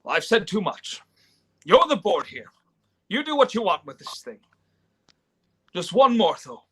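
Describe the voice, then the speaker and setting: A man's voice: high-pitched, big pitch swings, unhurried, bright, forceful tone, breathy edge, heavily acted. A man playing an earnest, indignant young idealist who stammers, in a live radio play reading. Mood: indignant, impassioned. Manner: stammering, impassioned. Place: remote online reading from home.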